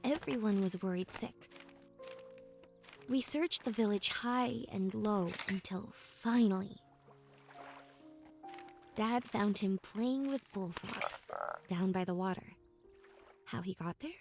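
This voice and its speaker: A woman's voice: mid-range voice, takes it slowly, long pauses. Storytelling young woman over music. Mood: reflective. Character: young woman recalling her journey from home. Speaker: narrator. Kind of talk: scripted first-person story narration. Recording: music under the voice. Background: game music.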